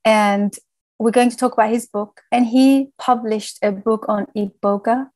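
A woman's voice: a little high, fairly even intonation, unhurried, a little mellow, no room sound. A woman in her thirties from the UK hosting an interview podcast. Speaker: podcast host.

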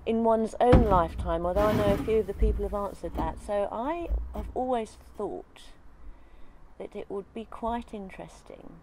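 A woman's voice: mid-range voice, moderate pace, mellow, a little hiss or hum, poor audio. An older woman in a casual outdoor interview, chatting about sailing life with frequent ums. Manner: chatty, thinks aloud with many ums. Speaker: interviewee, sailor. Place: outdoors in a leafy garden.

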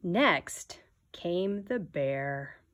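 A woman in her thirties, a teacher giving an expressive, deliberate read-aloud of a children's picture book. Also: on the low side.

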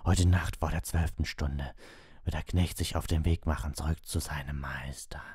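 A man voicing an entranced female seer in an audiobook, harsh, slow and ominous as a dark prophet. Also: extremely low pitch, fairly even intonation, slightly rough, no echo, clean studio sound, full character voice. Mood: ominous, trancelike.

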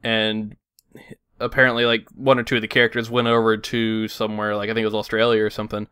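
A man with a lowish, smooth voice chats on an anime podcast. He rambles through his points with plenty of "like" and casual swearing. The sound is close and dry, with no room around it. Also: completely flat delivery, fast, sharp tone, no echo. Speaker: podcast host. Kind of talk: casual chat.

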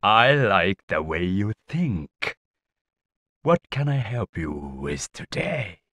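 A corrupt, pompous minister in a video game. He speaks extremely slowly, with big swings in pitch, smug and deliberate. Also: big pitch swings, a bit bright, no room sound, heavily acted. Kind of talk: video game cutscene acting.

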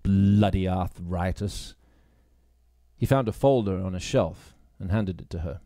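A man's voice: low voice, expressive, brisk, mellow, no room sound, clean studio sound. A man reading a story aloud as scripted audiobook narration. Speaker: audiobook reader, actor.

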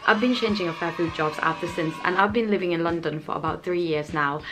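A young woman speaks to camera in a small room at home, earnest and explanatory. Her voice sits a touch low for a woman, and soft music plays faintly beneath. Her English carries small grammar slips. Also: quick pace, low-quality recording. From Thailand. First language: Thai. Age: young adult. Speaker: channel host. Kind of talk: scripted advice vlog to camera. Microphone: camera framing, head and shoulders.

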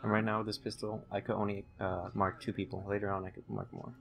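A man's voice: fairly low pitch, little pitch movement, quick pace, vocal fry, highs cut off. A young man giving casual, rambling, hesitant commentary as he hosts a gameplay video. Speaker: gaming video host.

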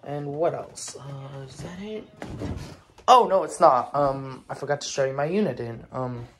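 A person talking informally and haltingly in a casual show-and-tell vlog, filmed on a handheld phone indoors at home. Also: medium pitch, soft background music. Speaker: collector presenting weather radios. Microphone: handheld phone camera. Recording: noisy handheld recording with clicks.

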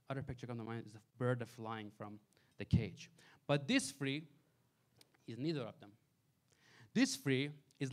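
A male pastor preaches in a small church sanctuary, holding a handheld mic. His voice sits a little high for a man, and he moves between forceful, raised emphasis and quiet, dropped asides. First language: Farsi. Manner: preaching with wide swings between loud emphasis and soft asides. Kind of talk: sermon.

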